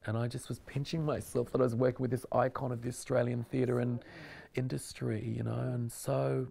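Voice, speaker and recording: A man's voice: mid-range voice, level tone, brisk, vocal fry, dark tone. A man in his forties, an actor, chatting animatedly in an interview in a living room and laughing often. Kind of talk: casual interview chat. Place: living room with bookshelves, indoors.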